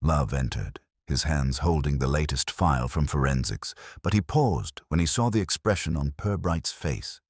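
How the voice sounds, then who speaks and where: A man narrating a scripted detective mystery in a grave, deliberate, measured literary style that builds suspense. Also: a bass voice, animated, darkish tone, slightly rough, no echo, studio quality.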